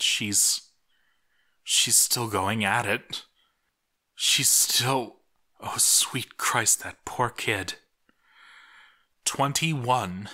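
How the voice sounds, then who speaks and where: Bright-voiced man narrating dramatically. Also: lowish voice. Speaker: narrator of a video series. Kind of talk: dramatic scripted story narration, reading a game chronicle aloud.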